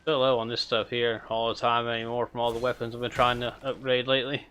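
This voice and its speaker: Homem descontraído narrando seu jogo. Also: male voice, medium pitch, fast, a little hiss or hum. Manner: relaxed, rambling, chatting with viewers. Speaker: streamer. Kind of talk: casual gameplay commentary.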